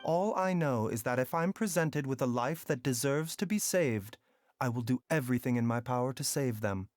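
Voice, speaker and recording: An actor voicing a calm, enigmatic doctor in a video game, speaking in a polite, formal, measured way. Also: male voice, medium pitch, soft background music, natural voice.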